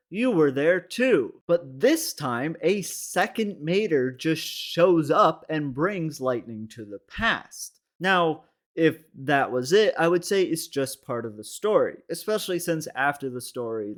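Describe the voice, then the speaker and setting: A man with a slightly high voice delivers comedic video essay commentary. He sounds wry and mock-serious, dropping exasperated asides as he narrates.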